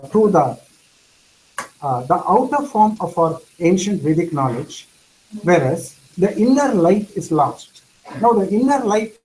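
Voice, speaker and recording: A man's voice: fairly high pitch, darkish tone, rough recording. Earnest man lecturing, Tamil native speaker. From India. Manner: earnest, explanatory, repeats phrases for emphasis. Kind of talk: lecture answering questions.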